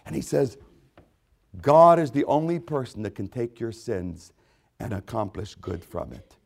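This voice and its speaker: A man preaching a sermon, reading scripture aloud and expounding on it. He repeats key phrases for emphasis and swings between loud exclamations and hushed asides. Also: mid-range voice, very animated, a little slow, gravelly.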